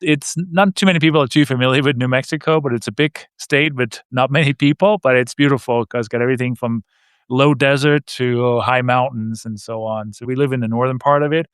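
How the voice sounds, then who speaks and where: A man in his fifties with a slight Danish accent, guesting on a radio interview. His voice is smooth and clear, and he tells his story in a measured, friendly way. The recording is dry and close. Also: mid-range voice, fairly even intonation, dry recording. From Denmark. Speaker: guest, real estate investor. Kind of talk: casual interview answers.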